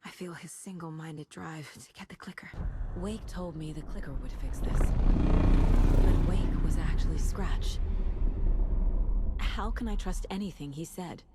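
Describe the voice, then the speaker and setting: An actress playing a troubled, determined woman detective in a video game, thinking aloud in an intense, deliberate way. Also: low-pitched, very noisy. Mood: tense, distressed. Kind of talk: dramatic video game voice acting.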